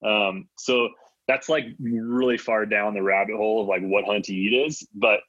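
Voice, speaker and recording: A man's voice: on the low side, steady tone, normal speed, a bit bright, no room sound, lo-fi sound. A man from Michigan whose first language is Latvian, talking casually as an interview guest with many ums and restarts. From Michigan, USA. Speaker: guest, hunting brand co-founder.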